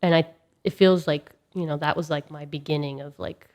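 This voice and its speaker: A woman's voice: low voice, normal speed. A woman in her thirties from Russia, a guest musician, chatting casually in a studio interview and acting out the voices of people in her stories. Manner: animated storytelling, acts out quoted voices.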